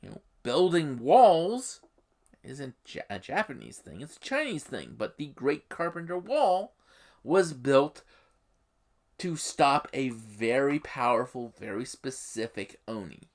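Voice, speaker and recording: Slow, animated explainer with emphatic pauses. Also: medium pitch. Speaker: YouTube host. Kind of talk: explanatory commentary on a game setting.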